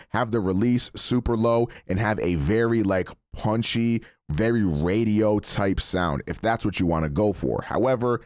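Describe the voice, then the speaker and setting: A man with a deep voice casually walking through his microphone settings as a streamer, relaxed and chatty. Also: no room sound, studio quality. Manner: relaxed, chatty, self-correcting. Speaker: streamer explaining mic settings. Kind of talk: casual tutorial walkthrough. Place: home streaming setup. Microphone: studio broadcast mic.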